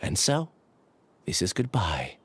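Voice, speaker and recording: Earnest, resigned researcher, expressive. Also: male voice, sits low, slow pace, a little hiss or hum, exaggerated voice. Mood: resolved, wistful. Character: resigned, earnest male researcher confessing to friends. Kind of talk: video game voice acting.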